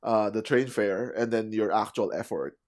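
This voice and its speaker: A man's voice: fairly low pitch, normal speed. A man hosting a casual podcast chat, talking with lots of fillers and laughing often. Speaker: podcast host.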